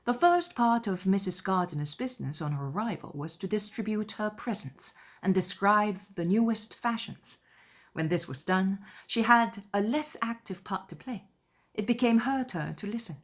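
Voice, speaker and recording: A woman reading scripted literary narration as an audiobook narrator, in a measured, formal manner. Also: mid-range voice, expressive.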